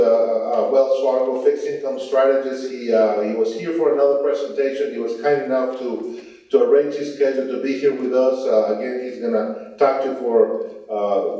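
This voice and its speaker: Man moderator, mellow voice, hesitant with fillers, far away, dull echoey recording. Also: mid-range voice. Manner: hesitant, many filler sounds. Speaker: meeting moderator. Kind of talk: introducing a speaker. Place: meeting room.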